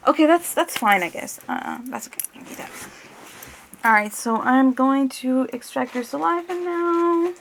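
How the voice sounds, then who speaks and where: A woman's voice: high-pitched, normal speed, gravelly, slight background noise. A woman doing a one-on-one ASMR role-play as an examiner, hesitant and muttering to herself, drifting between normal voice and whispery murmur.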